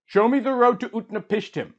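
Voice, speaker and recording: A man's voice: high voice, fairly quick, muffled recording, pitched above the performer's own voice. A man voicing an anguished, proud wandering king in an impassioned lament, as part of a storytelling reading. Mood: defiant grief.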